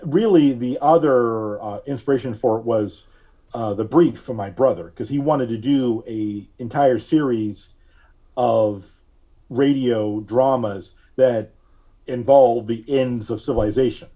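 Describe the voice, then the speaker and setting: Mellow, halting man in casual interview. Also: mid-range voice, highs cut off. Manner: halting, thinking aloud. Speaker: podcast guest; audio drama writer.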